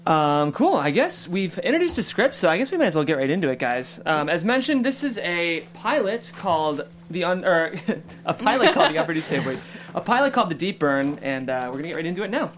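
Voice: mid-range voice, big pitch swings, fairly quick, slight background noise. Upbeat chatty podcast host. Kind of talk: hosting a table read and reading stage directions.

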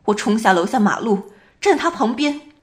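A woman's voice: fairly high pitch, big pitch swings, slight background noise. A woman in an amateur radio drama plays a haunted dreamer, telling her story in a grave, detached and dramatic way. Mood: tense. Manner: slow, hushed, dramatic delivery.

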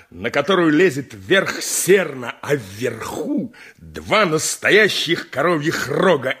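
An actor playing a boastful, boisterous armourer in a radio play, exclamatory and chuckling. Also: male voice, fairly high pitch, pushed and bright, husky, full character voice. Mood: boastful, jolly. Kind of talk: 1980s radio play acting.

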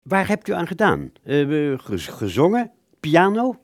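An actor playing an eager, hard-up musician in a radio play, coaxing and teasing in a halting way. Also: male voice, on the high side, wildly swooping pitch, no echo, clean studio sound, full character voice. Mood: feigning keen interest.

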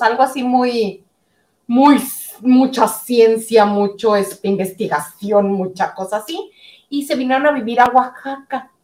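A woman's voice: a little high, a little mellow, echoey room. A woman guest chatting casually about celebrity gossip, rambling with fillers. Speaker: guest commentator.

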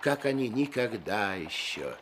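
A man narrating a literary story for a radio play, with wry, measured and expressive phrasing. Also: on the low side, a little hiss or hum.

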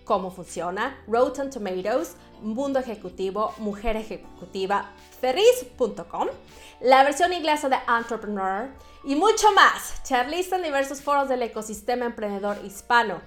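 A woman hosting an interview, chatty and upbeat. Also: high-pitched. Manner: chatty, upbeat, many fillers. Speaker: podcast host. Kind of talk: casual interview.

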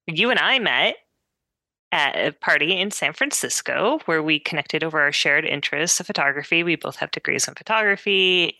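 Bright, forceful woman, lowish pitch, dry sound. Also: dry recording. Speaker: podcast co-host. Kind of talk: casual conversational podcast chat.

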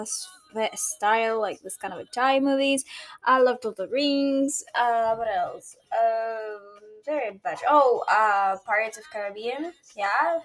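High-voiced young Greek woman, rambling casually. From Greece. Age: early 20s. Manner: rambling, hesitant, informal. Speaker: podcast host. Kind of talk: casual solo podcast chat. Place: bedroom at home. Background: chill R&B background music.

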